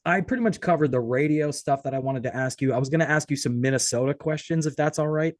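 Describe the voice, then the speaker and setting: Fast-talking man interviewing. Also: fairly high pitch, no echo, clean studio sound. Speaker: interviewer, podcast host. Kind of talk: casual interview chat.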